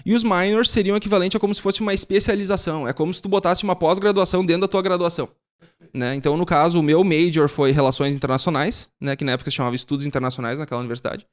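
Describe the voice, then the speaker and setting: A man's voice: a little high, steady tone, very fast. A young man from Brazil, a podcast guest explaining things in casual chat and often ending with a tag question.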